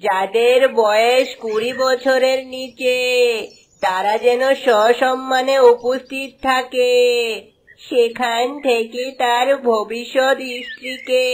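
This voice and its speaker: Very slow, formal royal crier. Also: female voice, a little high, fairly even intonation, poor audio. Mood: proclaiming. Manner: formal, declamatory. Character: formal royal town crier making proclamation. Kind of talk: cartoon fairy-tale acting.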